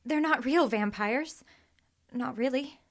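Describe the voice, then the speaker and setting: Woman playing a high-voiced, anxious young wife. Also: slow pace. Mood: frightened. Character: naive, pleading, shallow wife who stayed young. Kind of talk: audio horror drama acting. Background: background music.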